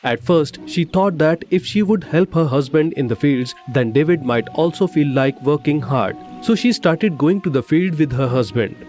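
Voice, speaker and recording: A man's voice: a little high, expressive, moderate pace, hardly any pauses, darkish tone. A man narrating a scripted moral story as a storyteller. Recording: faint music behind the voice. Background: faint background music.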